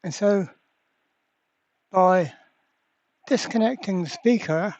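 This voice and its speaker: A repair channel host giving a casual hands-on demonstration at a workbench, halting and thinking aloud while working. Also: mid-range voice, darkish tone, dry recording, muffled recording. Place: indoor workbench.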